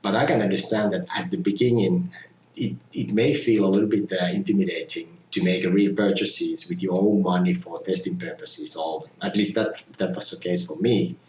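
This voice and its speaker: A middle-aged man from Finland, giving a measured, earnest testimonial about his community testing and often saying "you know". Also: sits low, steady tone, a little mellow, a little hiss or hum. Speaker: community tester. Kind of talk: casual testimonial talk. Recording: roomy echo with faint ambience.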